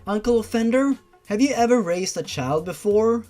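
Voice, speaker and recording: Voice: mid-range voice, expressive, normal speed, mellow, faint music behind, pitched above the performer's own voice, lightly acted. Narrator voicing earnest, firm young woman. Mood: firm concern. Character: reasoning, concerned young woman, gentle but firm.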